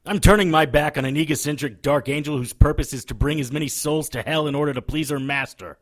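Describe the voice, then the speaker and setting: A man's voice: a little high, quick pace, lightly acted. Man playing a sardonic hardboiled detective. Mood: defiant. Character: wisecracking, defiant hardboiled male occult detective. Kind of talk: audio drama acting.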